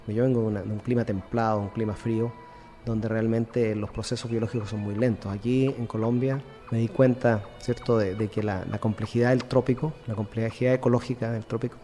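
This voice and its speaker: A middle-aged man giving an explanatory radio interview. He talks quickly in a mellow voice, with a didactic manner. The sound carries a long reverb, as in a hall. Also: medium pitch. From Chile. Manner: didactic, with rhetorical check-ins. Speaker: interview guest, agronomy expert.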